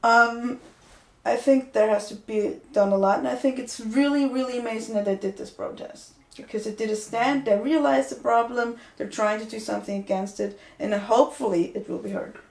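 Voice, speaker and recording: A woman's voice: fairly high pitch, fairly even intonation, normal speed, vocal fry. Young woman podcaster in an echoey room. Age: 20s. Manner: talks in restarts, earnest and opinionated. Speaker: podcast co-host. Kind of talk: casual discussion. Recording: roomy echo.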